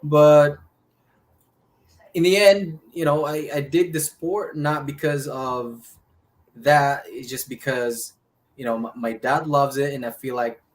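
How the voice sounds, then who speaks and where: A man with a Filipino accent giving casual interview answers as a boxer, speaking haltingly with pauses mid-sentence. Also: mid-range voice, fairly even intonation. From Philippines. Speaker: professional boxer, interview guest. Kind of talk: casual interview answers, recounting his life.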